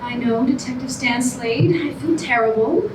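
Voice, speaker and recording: An earnest heroine played by a woman in a stage comedy, pleading in careful, formal phrases. Her fairly high voice comes from some distance in a roomy hall. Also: moderate pace, lightly acted. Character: earnest, pleading woman contestant hiring a detective. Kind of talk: staged reading of a musical comedy. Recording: roomy, distant, noisy live stage recording.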